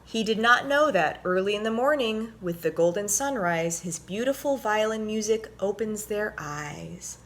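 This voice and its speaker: A woman presenting and reading a children's story aloud in an expressive, clearly told storytelling manner. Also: mid-range voice, moderate pace, hardly any pauses, a little hiss or hum.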